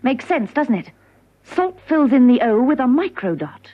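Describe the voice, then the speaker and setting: An actress playing a brisk, sharp-witted woman secret agent in a classic spy radio drama, teasing and confident. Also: sits high, big pitch swings. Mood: keen, deducing. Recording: muffled old radio broadcast recording.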